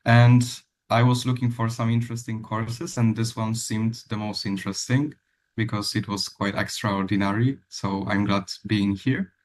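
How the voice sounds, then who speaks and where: A man with a Polish accent, a university student, who introduces himself in a measured, precise way. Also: fairly low pitch, level tone. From Poland. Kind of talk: self-introduction.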